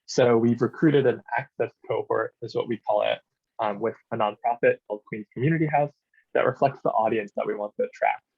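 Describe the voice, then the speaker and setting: A man, an architect, giving a conference presentation in a measured, explanatory way with frequent "um" fillers. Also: mid-range voice, vocal fry, a little mellow, breathy edge, dull audio. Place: New York City.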